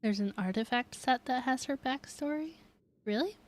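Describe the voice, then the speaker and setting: High-voiced woman streaming a game. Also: moderate pace. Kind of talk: casual live reaction chat.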